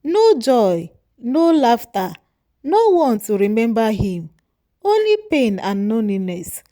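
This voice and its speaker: A woman storyteller narrating a folktale in a measured, moralizing way. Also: a little high, very animated, warm tone.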